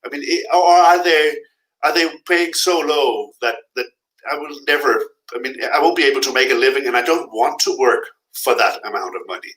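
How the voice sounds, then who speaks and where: A middle-aged man with a bright, forward voice speaks as a guest in a live interview, giving blunt, frank warnings and pressing his points home. The sound rings off the walls of an echoey room. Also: mid-range voice, a little fast. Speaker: guest, freelance translator.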